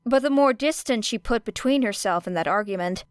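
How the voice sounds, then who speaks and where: A woman reading fan fiction aloud as an audiobook, in a measured storytelling manner. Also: a little high, expressive, clear, resonant tone, dry recording. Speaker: podfic narrator.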